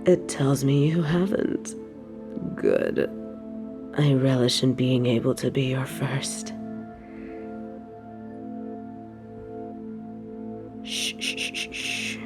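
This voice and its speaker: A woman playing an evil, possessive queen in an intimate audio roleplay, speaking formally and seductively in a breathy voice that often drops toward a whisper. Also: very deep voice, very animated, over music, heavily acted. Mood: gloating, tender. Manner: formal, regal, slow seductive delivery.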